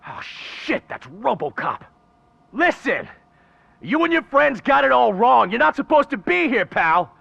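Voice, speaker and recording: Gravelly male hoodlum, high and strained, taunting, cocky, slangy, foul-mouthed, video game villain. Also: sing-song, measured pace, sharp tone, no echo, muffled recording, full character voice. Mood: cocky threat. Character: cocky, foul-mouthed male street thug holding hostage.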